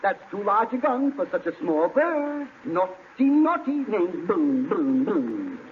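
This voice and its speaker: A tinny old radio recording with faint noise. A high-voiced, fussy game warden scolds prissily and repeats words in 1940s comedy style. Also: male voice, very animated, slow, exaggerated voice. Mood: fussy scolding.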